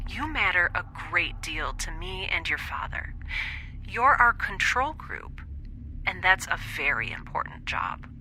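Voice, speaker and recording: A detached scientist mother, as a female narrator puts her on, speaks bluntly and clinically in quoted audio drama dialogue. The woman's voice is very bright and clear-edged, with faint noise behind it. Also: on the low side, big pitch swings, a little slow, full character voice. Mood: patronizing. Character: cool, detached scientist mother, matter-of-fact and dismissive.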